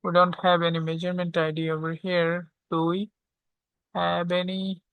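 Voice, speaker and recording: A tutorial instructor walking through software step by step, often checking in with a short questioning tag. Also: mid-range voice, little pitch movement, moderate pace, clean tone, no echo, low-quality recording. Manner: checks understanding with frequent "okay?".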